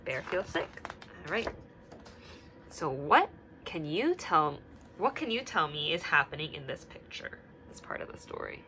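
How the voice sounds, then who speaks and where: A woman teacher talking gently to young children, singing little hand-washing songs and reading a picture book aloud with many questions. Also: sits low, sing-song, unhurried, slight background noise, rough recording. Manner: gentle, sing-song, asks many questions.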